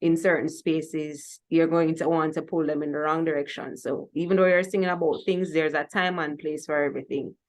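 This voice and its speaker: A woman's voice: deep voice, fairly even intonation, fairly quick, few pauses, darkish tone. A woman with a Jamaican accent hosting a music talk show in a conversational style.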